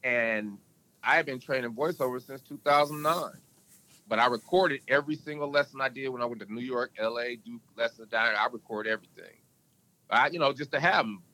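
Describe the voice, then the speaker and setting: A man's voice: fairly quick, sharp tone, low-quality recording. A man guest on a podcast, talking in a casual, emphatic, storytelling way. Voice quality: can go deep. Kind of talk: casual interview talk.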